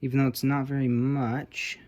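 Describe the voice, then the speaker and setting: A man's voice: medium pitch, flat delivery, normal speed, a little mellow. A man giving casual, unscripted commentary on his own hands-on repair, offhand and thinking aloud as he works. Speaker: hobbyist restorer presenting his own repair video.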